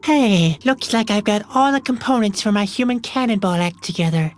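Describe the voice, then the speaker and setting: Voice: mid-range voice, normal speed, close to an everyday voice. A performer playing an earnest, melancholy young male jester in a video game, hesitant, plaintive and stubbornly hopeful. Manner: hesitant, plaintive, self-doubting. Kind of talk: scripted video game acting.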